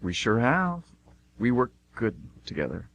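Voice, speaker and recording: A man's voice: mid-range voice, very animated, a little slow, fairly bright tone, muffled recording. A man playing an easygoing, chatty, naive American tourist in an amateur radio play, talking informally. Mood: content. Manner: informal, breezy.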